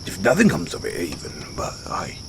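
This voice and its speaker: A man playing a gruff but good-hearted orc barbarian in a fantasy audio roleplay, bashful and loyal, stumbling over his words in halting speech. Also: low-pitched, huge pitch swings, background noise. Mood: earnest, bashful. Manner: halting, fumbling speech with sheepish stammers. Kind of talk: fantasy audio roleplay, intimate one-sided dialogue. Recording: outdoor night ambience with crickets behind.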